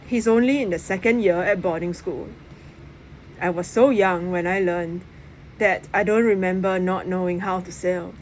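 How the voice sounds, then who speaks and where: A woman's voice: mid-range voice, low-quality recording. A woman reading a children's novel aloud as first-person narration. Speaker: audiobook reader. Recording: faint background noise.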